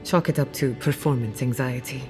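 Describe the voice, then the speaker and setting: Low voiced wry scholar lady, confident n measured, game dialogue w music behind. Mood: reflective, wry. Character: driven, wry female scholar, guarded about family loss. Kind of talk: video game voice acting.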